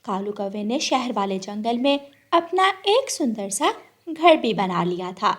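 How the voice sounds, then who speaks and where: High-pitched woman storyteller. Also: very animated, a little slow. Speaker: narrator. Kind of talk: scripted children's story narration in Hindi.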